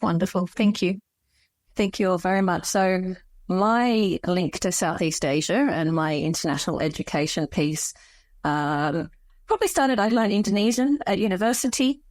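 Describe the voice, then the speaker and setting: A middle-aged woman hosting a panel conversation, introducing colleagues in a measured way. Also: fairly low pitch, darkish tone, no echo. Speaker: host.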